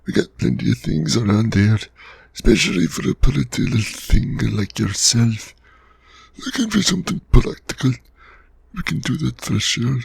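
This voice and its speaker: Man doing a sleazy tailor, gravelly, raspy, coaxing sales patter, ASMR drama. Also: medium pitch, lively intonation, normal speed, no room sound, exaggerated voice. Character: leering lowlife male tailor shopkeeper.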